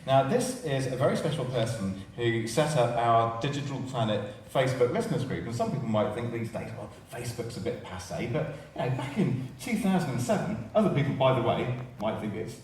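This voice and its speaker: A man's voice: medium pitch, expressive, fairly quick, few pauses, a little mellow. A male radio host chats jovially and wanders off into asides as he interviews guests on stage. He sounds distant from the mic in a very reverberant theatre, with faint noise behind him. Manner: chatty, digressive, jovial. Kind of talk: live presenting and interviewing before an audience. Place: large radio theatre with live audience.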